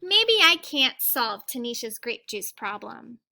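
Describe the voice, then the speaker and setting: A woman reading a children's story aloud like a teacher, in an expressive, deliberate way, with asides to her young listeners. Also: fairly high pitch, creaky.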